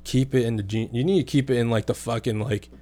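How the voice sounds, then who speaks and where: A man's voice: mid-range voice, fairly even intonation, quick pace, warm tone. A young man telling stories in a casual chat, swearing freely and laughing often. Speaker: podcast guest.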